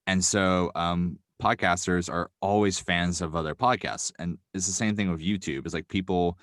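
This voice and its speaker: Young male podcast guest, very low voice, earnest and upbeat, dry close sound, lots of filler words. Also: steady tone, normal speed, a bit bright, dry recording. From New York. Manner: earnest, upbeat, many ums and likes. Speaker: guest, writer and podcast creator. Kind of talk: casual podcast interview.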